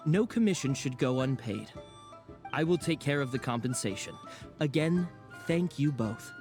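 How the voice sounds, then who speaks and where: A man's voice: mid-range voice, animated, moderate pace, close to an everyday voice. A man voicing a courteous, grateful townsman client in a video game. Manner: courteous, formal. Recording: game audio with clear music behind.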